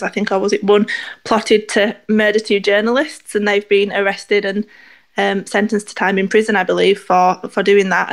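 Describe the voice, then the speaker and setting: A woman co-hosting a casual podcast, walking through her points one by one in an earnest, explanatory tone. Her voice sits in a middle register for a woman, neither high nor low. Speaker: podcast co-host.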